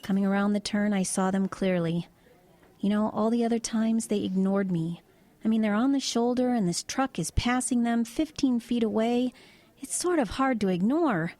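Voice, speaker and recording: A woman's voice: medium pitch, normal speed, a little mellow, no echo, close to an everyday voice. An actress playing a matter-of-fact woman truck driver in an audio drama, recounting eerie visions in a hesitant, conversational way. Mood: quiet, wistful.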